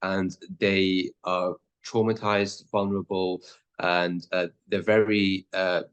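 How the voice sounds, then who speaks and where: Low-pitched male lawyer in his thirties, halting and earnest, lots of ums. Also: level tone, clean tone, lo-fi sound. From born in Pakistan, raised in the Netherlands. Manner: hesitant, many fillers and restarts. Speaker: lawyer guest. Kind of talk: interview answers.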